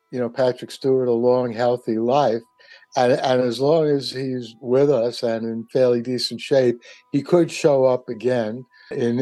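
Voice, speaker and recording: A man's voice: medium pitch, dark tone, no echo. A man giving his thoughts as a panelist in a casual radio discussion.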